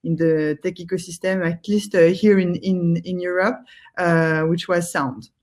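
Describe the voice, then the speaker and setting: A woman's voice: on the low side, fairly even intonation, measured pace, darkish tone. A woman asking casual questions as the host of an interview.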